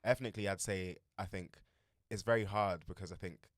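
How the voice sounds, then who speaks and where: A young man who grew up in Uganda, a native Luganda speaker, talking as a poet guest in a relaxed, reflective way with lots of fillers. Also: low-pitched, brisk, no echo, clean studio sound. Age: young adult. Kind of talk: casual interview chat.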